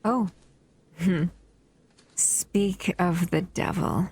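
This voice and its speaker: A woman voicing a doting, possessive female monster in an audio roleplay, speaking softly and often in a whisper. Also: fairly low pitch, very slow, breathy edge, full character voice. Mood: hushed, scheming.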